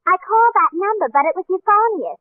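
An actress playing a scatterbrained, gushing young secretary who muddles big words, in 1940s radio comedy style. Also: female voice, very high-pitched, expressive, fairly quick, no echo. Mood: gushing, flustered. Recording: tinny vintage 1940s radio broadcast.

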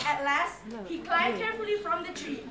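A woman's voice: very high voice, moderate pace, crowd noise. A young woman reading story narration dramatically as a student in readers theatre. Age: young adult. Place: large lecture hall, far camera in audience. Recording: echoey lecture hall from far, loud audience.

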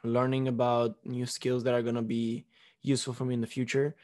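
A young man from Romania talks casually on his own podcast, recorded at home on a close studio mic. His voice sits at an average pitch for a man. He rambles with fillers and restarts as he tells his story. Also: little pitch movement, brisk, darkish tone. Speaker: podcast host. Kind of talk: casual chat. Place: living room at home. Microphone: studio mic on boom arm, close.

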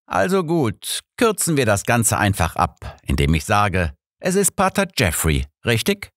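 Audiobook detective, old man acted by a male reader: blunt, cool, commanding, raised pitch. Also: wildly swooping pitch, measured pace, fairly bright tone, no echo, clean studio sound, exaggerated voice. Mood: blunt, probing. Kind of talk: audiobook character dialogue.

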